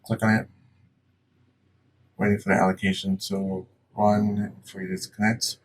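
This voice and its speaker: Low-voiced man giving a software tutorial. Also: little pitch movement, unhurried. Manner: plain-spoken, instructional. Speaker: tutorial presenter. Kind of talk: casual step-by-step software tutorial. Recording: distant mic, faint background noise.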